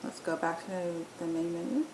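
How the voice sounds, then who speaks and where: Echoey woman giving a step-by-step software tutorial. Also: moderate pace. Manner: hesitant, explanatory, step-by-step. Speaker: app demo presenter. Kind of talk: unscripted software tutorial narration. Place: indoor room, voice-over on screen recording. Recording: roomy echo, faint rumbling noise.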